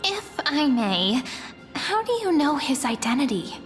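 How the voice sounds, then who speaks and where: An actress playing a curious, wary young female companion in a video game, with expressive delivery. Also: high voice, unhurried. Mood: polite, curious. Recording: long hall reverb, faint music behind.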